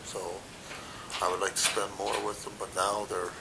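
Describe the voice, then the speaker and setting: Older male, low gravelly voice, plain-spoken casual rambler, noisy rumbling location. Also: level tone, normal speed. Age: 60s or older. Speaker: mall Santa Claus being interviewed. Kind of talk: casual interview chat.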